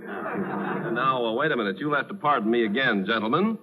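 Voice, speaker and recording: A man announcing on a 1940s live radio variety show, introducing guests in a mock-formal, wordy, playfully halting way. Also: mid-range voice, expressive, crowd noise. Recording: noisy old radio recording with clicks.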